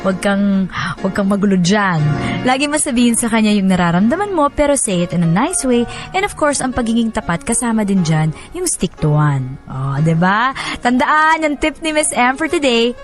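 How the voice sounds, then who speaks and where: A woman radio host with an expressive delivery, presenting relationship advice and letters on air. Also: on the high side, moderate pace, soft background music.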